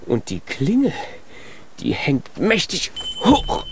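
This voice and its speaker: An actor voicing a small, childlike, proud and eager giant in a children's radio play. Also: male voice, fairly high pitch, wildly swooping pitch, slow, very noisy, exaggerated voice. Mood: hopeful. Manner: eager, boastful, naive.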